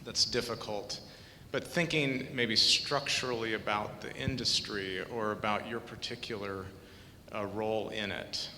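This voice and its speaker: Slow, bright-toned man asking a question. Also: steady tone. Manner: searching, hesitant phrasing. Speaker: audience member asking a question. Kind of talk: audience question. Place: event hall. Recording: echoey hall, faint rumble.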